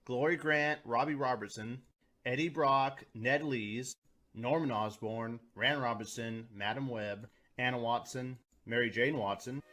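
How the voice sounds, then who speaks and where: A man's voice: medium pitch, a little slow, pushed and bright. A man hosting a scripted video review, talking in a colloquial, mildly profane way. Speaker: reviewer, host.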